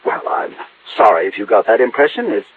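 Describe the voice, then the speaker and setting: An actor playing a nervous, evasive scientist villain with a husky voice and formal, stammering speech in an old radio drama. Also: male voice, on the low side, very animated, fairly quick, slight background noise. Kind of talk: old-time radio acting. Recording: thin, narrow vintage radio recording.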